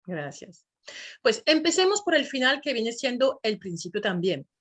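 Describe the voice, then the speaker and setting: A woman's voice: a little high, very animated, moderate pace, a bit bright. A woman interviewing a guest, asking short, friendly questions and brief acknowledgements.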